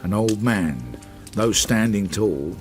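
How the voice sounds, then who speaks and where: A Victorian detective narrating a radio drama. The voice is low and slow yet nicely varied in pitch. He speaks in short, understated, reflective phrases. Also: expressive, soft background music. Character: reflective Victorian detective recalling an old case.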